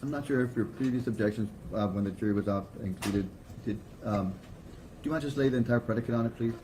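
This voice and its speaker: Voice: medium pitch, fairly quick. A judge speaking in a formal but conversational way in a courtroom. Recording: noisy courtroom audio.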